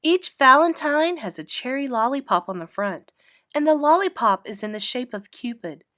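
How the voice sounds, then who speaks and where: A woman's voice: sits high, sing-song, normal speed, a bit bright, dry recording, clean studio sound, pitched above the performer's own voice. A woman voicing a prissy, boastful little rich girl, haughty and gushing, in a children's storybook. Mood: smug, dreamy.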